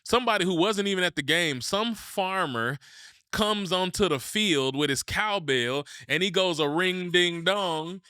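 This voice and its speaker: A man from Mississippi, hosting a podcast and telling a personal story in an animated way, going low and slow for the serious parts. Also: clean tone, no room sound, studio quality. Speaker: podcast host.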